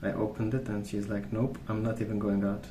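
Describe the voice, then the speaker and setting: A man speaking softly in a calm, gentle, unhurried way, rambling in a casual ASMR storytelling style. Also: lowish voice. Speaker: ASMR video creator. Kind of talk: soft-spoken ASMR rambling. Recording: roomy sound with clear background noise.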